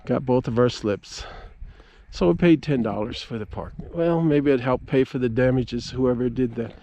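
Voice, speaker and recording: A middle-aged man giving a casual, halting, folksy account as he vlogs outdoors at a park. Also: medium pitch, mellow, highs cut off. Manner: halting, folksy, stop-and-start storytelling. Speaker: amateur radio operator vlogging. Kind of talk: casual rambling outdoor vlog. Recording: clear ambient noise outdoors. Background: ambient outdoor noise.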